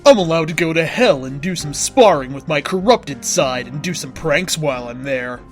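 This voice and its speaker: A man hosting a reading of fan fiction, narrating with theatrical, deliberate emphasis. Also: on the high side, lively intonation, faint music behind. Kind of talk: dramatic scripted story reading.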